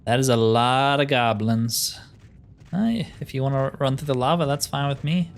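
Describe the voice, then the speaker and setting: A man's voice: medium pitch, fairly even intonation, moderate pace. A man giving casual gameplay commentary, thinking aloud with dry, joking asides. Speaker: gamer narrating a roleplay playthrough. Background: game music.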